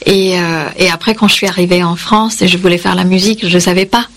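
A woman's voice: lowish voice, fairly even intonation, fairly quick. A woman from India raised in Yemen, a native Kannada speaker, answering interview questions as a singer, reflective and hesitant with fillers. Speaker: singer, guest.

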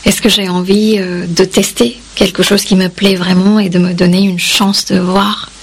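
A woman's voice: medium pitch, little pitch movement, moderate pace, slight background noise. Reflective woman with Kannada roots. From India, raised in Yemen. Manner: reflective, hesitant with fillers. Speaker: singer, guest. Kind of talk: interview answers.

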